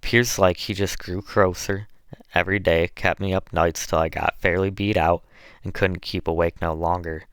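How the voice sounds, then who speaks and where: A man's voice: deep voice, fairly even intonation, normal speed, a bit bright, smooth, no room sound, studio quality. A man acting out a weary enslaved Southern woman. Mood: weary, sorrowful. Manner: plaintive, folksy dialect speech. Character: weary, sorrowful enslaved woman telling her troubles.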